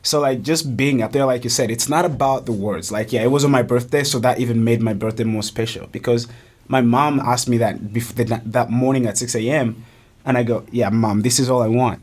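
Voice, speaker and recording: A man's voice: mid-range voice, steady tone, a little fast, a little mellow. A young man from Uganda, a college soccer player, earnestly telling his story on a casual podcast, often restarting his sentences. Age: young adult.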